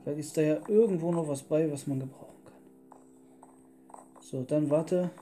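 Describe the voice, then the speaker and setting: An easygoing man chats casually through a video game as a let's player. He drops in murmured asides to his partner, and music plays clearly behind his voice. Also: fairly high pitch, unhurried, mellow. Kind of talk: casual gameplay commentary.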